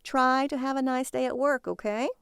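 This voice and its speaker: A woman acts out a sardonic wife in a storybook reading. Her voice lifts high and stretches words mockingly, exasperated yet fond, in a dry, close recording. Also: fairly even intonation, moderate pace, vocal fry, fairly bright tone, clear, resonant tone, no room sound. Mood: fondly teasing.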